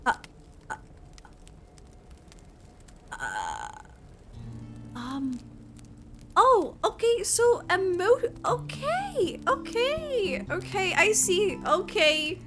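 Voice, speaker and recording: A woman giving casual, expressive live commentary on a video game, thinking aloud as she reacts. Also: extremely high pitch, very slow, long pauses, faint music behind. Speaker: gamer reacting to story quest.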